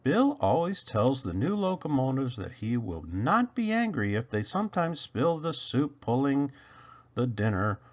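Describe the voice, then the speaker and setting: A man's voice: mid-range voice, sing-song, measured pace, lo-fi sound. A man reading a children's storybook aloud as narrator, emphatic and drawing out key words. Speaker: storybook reader.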